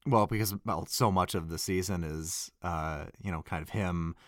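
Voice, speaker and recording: Male voice actor in casual conversation, deep voice, dry close sound, halting and self-correcting. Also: dry recording.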